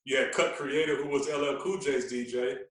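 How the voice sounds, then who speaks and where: A man's voice: mid-range voice, steady tone, moderate pace, fairly bright tone. Echoey, distant-sounding New man telling stories. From Queens, New York. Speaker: podcast guest, radio personality. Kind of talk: animated casual storytelling. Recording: very echoey room, distant from mic.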